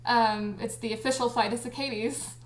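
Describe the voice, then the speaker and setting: Giggly, higher-voiced woman in an echoey room. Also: moderate pace. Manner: giggly, excitable, frequently breaking into laughter. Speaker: audio drama creator and cast party host. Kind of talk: casual livestream chat. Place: indoors on a group livestream call.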